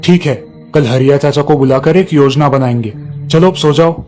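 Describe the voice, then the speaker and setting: A man's voice: a little high, normal speed, a little mellow, soft background music, natural voice. A man voicing an earnest, righteous village woodcutter and father in a moral story. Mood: fatherly.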